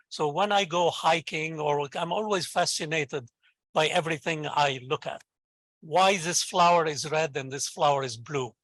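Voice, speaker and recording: A man's voice: on the high side, fairly bright tone, no room sound. An older man with a Lebanese accent, a native Arabic speaker, answering interview questions in an enthusiastic, encouraging, conversational way. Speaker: invited guest, professor and scientist.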